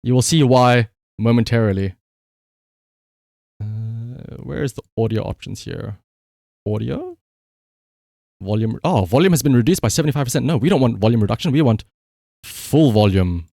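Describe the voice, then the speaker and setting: A man doing casual livestream gaming commentary in an excitable, emphatic way, prone to shouting and swearing. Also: mid-range voice, moderate pace, vocal fry, pauses often, no room sound, studio quality. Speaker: live streamer.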